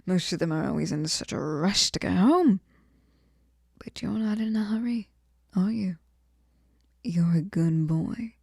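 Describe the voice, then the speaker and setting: A woman's voice: fairly low pitch, measured pace, vocal fry, long pauses, darkish tone, slightly rough, dry recording, exaggerated voice. A woman playing a sweet, possessive, lonely fairy in an intimate ASMR roleplay, cooing breathily and often slipping into whispers close to the mic. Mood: coaxing, doting. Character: sweet, clingy, possessive female fairy hostess, lonely and obsessive. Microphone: close microphone, dry sound.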